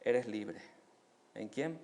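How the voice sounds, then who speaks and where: A man from Paraguay with a Paraguayan Spanish accent, preaching a sermon as pastor, asking rhetorical questions and rising loud on key phrases. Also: medium pitch, extremely slow.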